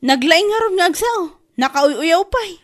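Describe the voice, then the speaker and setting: A woman's voice: very high voice, lively intonation, measured pace. A woman with an Ilocano accent acting an aggrieved, gossipy laundrywoman in a radio comedy drama, given to exclamations. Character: gossipy, aggrieved laundrywoman chatting with her friend.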